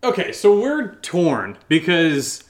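A man's voice: on the high side, huge pitch swings, slow pace. A man co-hosting a podcast, chatting casually and unscripted as he answers listener questions, thinking aloud with lots of "like". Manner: informal, thinking aloud with frequent "like". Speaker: podcast co-host and creator.

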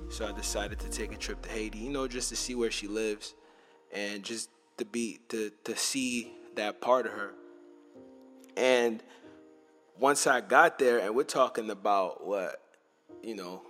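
Slangy man telling stories over faint music. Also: a bit bright. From Montreal, Canada. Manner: loose slangy storytelling, drops to low asides. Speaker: podcast host. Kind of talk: casual storytelling.

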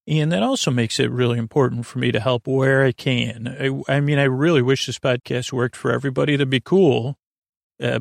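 A man hosting a bedtime podcast, talking in a casual, meandering, halting way with goofy, reassuring asides and a gravelly voice. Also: medium pitch, dry recording, clean studio sound. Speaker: podcast host.

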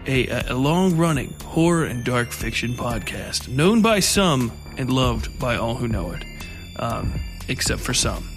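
A man's voice: medium pitch, a bit bright. A man hosting a podcast solo in a casual, rambling, profane and self-mocking way. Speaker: podcast host.

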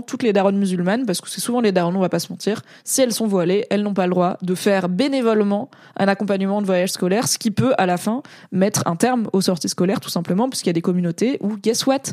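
A woman's voice: medium pitch, fast talker, mellow, no echo, studio quality. A woman from France giving casual, slangy commentary as a streamer reading chat, talking in a rambling way with frequent hesitations.

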